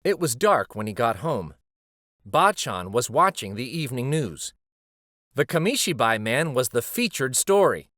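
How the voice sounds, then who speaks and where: A man narrating a children's picture book aloud in an expressive storytelling style. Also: medium pitch, measured pace, dry recording, studio quality.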